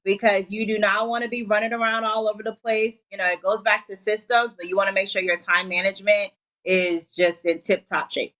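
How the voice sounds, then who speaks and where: A woman's voice: medium pitch, little pitch movement, brisk, a bit bright. Woman from Liberia giving business tips. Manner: upbeat, gives advice in clear numbered tips. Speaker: entrepreneur guest. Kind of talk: TV talk show interview.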